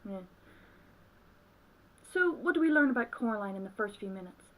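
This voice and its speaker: A woman with a fairly high voice delivers review commentary. She speaks from a script as she talks through a film. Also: expressive, moderate pace, low-quality recording. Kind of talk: scripted review commentary with acted intro skit.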